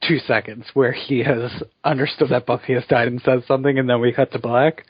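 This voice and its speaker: A man's voice: medium pitch, level tone, fairly quick, slightly rough, no echo. A man co-hosting a casual chat podcast, talking things through thoughtfully and steering the segments. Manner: thoughtful, leads the show's segments. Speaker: podcast co-host. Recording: dull lo-fi podcast audio.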